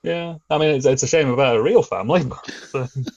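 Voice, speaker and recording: A man chatting about a review in a casual, measured way, with wry understatement. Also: mid-range voice, a little mellow, lo-fi sound.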